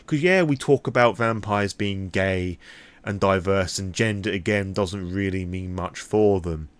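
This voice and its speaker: A British man rambling candidly through an impromptu vlog, opinionated and freely swearing. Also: lowish voice. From Britain. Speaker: vlogger.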